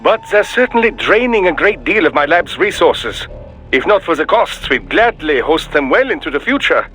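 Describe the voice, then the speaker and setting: An actor playing an erudite, polite, dryly pragmatic scientist in a video game, speaking formally and precisely. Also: male voice, fairly high pitch, sing-song, normal speed, pushed and bright, faint music behind, rough recording.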